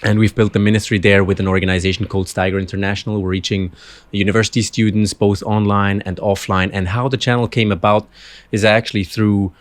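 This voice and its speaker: A man's voice: on the low side, flat delivery, fast, dry recording. A man from Switzerland with a Swiss accent, talking casually and modestly as an interview guest. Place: room in a college with an open window.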